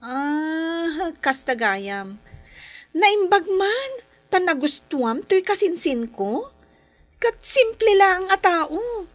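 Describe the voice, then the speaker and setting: A self-centred young Filipino woman in love in a radio drama. Her voice is very high and swings widely in melody. She draws out her lines slowly and dramatically, in a dry, close sound. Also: sing-song, no echo, heavily acted. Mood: chatty.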